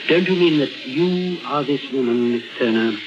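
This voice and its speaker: A man acting a composed, authoritative psychiatrist in a 1950s radio drama, with a formal, clinical, deliberate way of questioning. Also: medium pitch, close to an everyday voice. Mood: quietly probing. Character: composed, probing male psychiatrist, brisk and authoritative. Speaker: actor. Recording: dull vintage radio recording with music behind.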